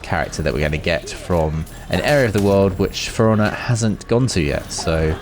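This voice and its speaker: Low-voiced man commenting on games. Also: lively intonation, moderate pace, faint music behind. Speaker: gaming video host. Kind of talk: casual off-the-cuff gameplay commentary.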